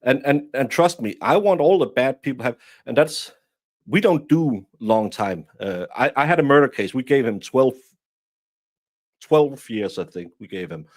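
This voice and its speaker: A man's voice: mid-range voice, animated, moderate pace, dry recording, clean studio sound. A man from Denmark, a guest commentator chatting bluntly with many restarts. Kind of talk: casual commentary chat.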